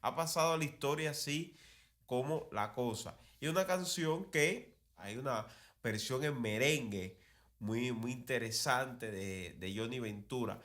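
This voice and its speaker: A man's voice: mid-range voice, unhurried, fairly bright tone. A man with a Cuban accent hosting a conversational talk on cultural history, telling it like a digressive storyteller who repeats phrases for emphasis. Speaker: podcast host.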